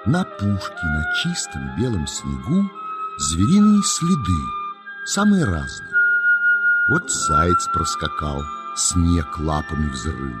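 A man's voice: a bass voice, huge pitch swings, slow, over loud music. A man narrating a children's nature tale in a folksy, dramatic storytelling style, savouring his words.

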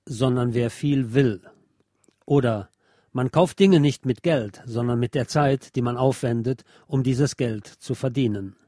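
A man working as a radio host, reading a written obituary aloud in a measured, deliberate way. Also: mid-range voice, warm tone, dry recording, studio quality. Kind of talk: read-aloud scripted obituary narration.

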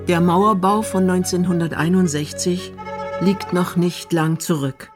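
Documentary narrator, scripted radio reading, mid-pitched voice, music underneath. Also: fairly even intonation, a little slow.

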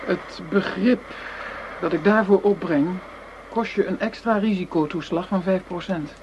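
An actress playing a cool, commanding crime boss who bargains hard and curtly in a radio drama. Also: female voice, fairly low pitch, measured pace, lightly acted. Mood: cool, bargaining. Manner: curt, in control. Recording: dull, noisy old radio play recording.

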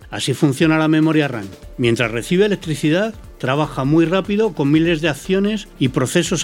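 Explanatory male presenter from Spain, medium pitch. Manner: clear explanatory. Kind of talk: scripted educational dialogue.

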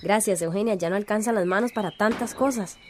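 A woman's voice: mid-range voice, brisk, a little mellow, slight background noise, lightly acted. An actress playing a grateful, earnest woman activist in a radio drama.

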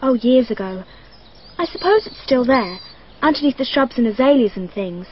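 A woman's voice: on the high side, expressive, moderate pace, lightly acted. An actress playing a precocious, dreamy twelve-year-old girl who speaks in an earnest, matter-of-fact and slightly odd way. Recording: dull lo-fi archive radio, faint hiss.

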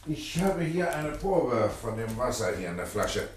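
A man's voice: mid-range voice, big pitch swings, a little slow, a little hiss or hum. A man acting in a German radio play as a polite, worried waterworks official, explaining earnestly with some hesitation. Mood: concerned, explanatory.

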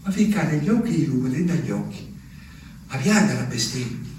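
An actor playing an anguished, simple Italian peasant man, telling his memories in a halting, urgent stage monologue. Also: medium pitch, very animated, a little mellow, close to an everyday voice. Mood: tender, halting. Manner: halting, repetitive, urgent storytelling. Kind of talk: theatrical monologue. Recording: echoey room, distant mic, faint noise.

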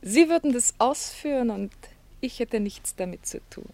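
A woman's voice: fairly high pitch, lively intonation, moderate pace, a little mellow. An actress in a German radio play, playing a cool, imperious young woman who mocks and commands her admirer. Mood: testing, mocking. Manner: commanding, taunting.

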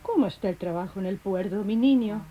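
An actress as a mellow-voiced, forgetful old Spanish-speaking matriarch. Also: female voice, medium pitch, fast talker, lightly acted. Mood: brooding, confused. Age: older. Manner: mixes Spanish blessings with German, repeats herself. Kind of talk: radio drama acting.

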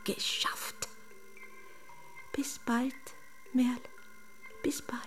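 A woman playing a dainty, homesick princess in a radio play, breathy and often whispering, with quaintly formal, exclaiming speech. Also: fairly high pitch, flat delivery, drawn-out words, fairly bright tone, soft background music. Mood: wistful, fussy. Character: runaway storybook princess, dainty, homesick, fussily polite. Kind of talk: German radio drama acting.

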